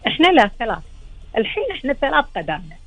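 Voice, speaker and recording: A woman's voice: fairly high pitch, big pitch swings, racing, no echo, lo-fi sound. A woman with a Gulf Arabic accent and a slightly tired, strained voice, chatting as a guest chef and explaining things with rhetorical questions. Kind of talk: chatty interview reminiscence.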